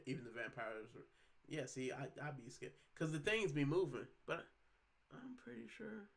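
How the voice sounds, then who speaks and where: A man's voice: a little high, animated, moderate pace, vocal fry. A man in his thirties from Puerto Rico, hosting a casual livestream at home, rambling in slang and reading out chat comments. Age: 30s. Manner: chatty, rambling, slangy, many fillers. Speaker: livestream host.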